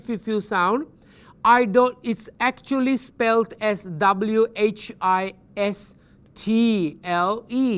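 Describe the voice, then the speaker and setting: A patient teacher gives a recorded lecture to distance learners. They spell words out letter by letter, slip into Thai partway through sentences, and keep encouraging the listeners with gentle check-ins. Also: medium pitch, clear, resonant tone. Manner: patient and repetitive, checks in with "Understand?"; switches between English and Thai mid-sentence. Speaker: university English teacher.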